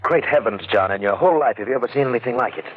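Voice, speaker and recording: An actor playing an awestruck, excitable traveller in 1940s radio drama style. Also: male voice, medium pitch, very fast, lightly acted. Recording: muffled old radio recording.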